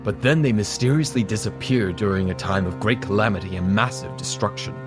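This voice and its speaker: Male actor for grave, low-voiced scholar of ancient legends, measured and erudite, audio drama. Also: music in the background, natural voice. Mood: grave, intrigued. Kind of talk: fan audio drama acting.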